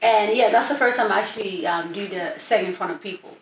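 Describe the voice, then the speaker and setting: A woman's voice: mid-range voice, brisk. Young woman from East Africa, chatty interview guest, distant mic in a roomy space. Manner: chatty, many restarts, lively storytelling. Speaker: guest singer. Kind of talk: casual interview. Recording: echoey room, distant mic, faint noise.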